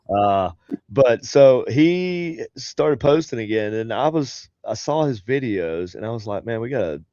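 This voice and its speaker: A man's voice: medium pitch, expressive, measured pace, mellow, no room sound, lo-fi sound. A man with a head cold and a nasal voice, co-hosting a paranormal talk show in casual chat, rambling with many fillers. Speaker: podcast co-host. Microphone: home desk setup on a video call.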